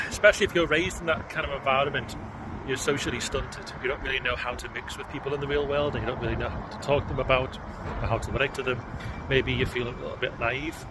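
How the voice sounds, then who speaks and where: A man from the United Kingdom giving casual advice in a conversational way, with frequent ums and restarts. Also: medium pitch. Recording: loud outdoor background noise.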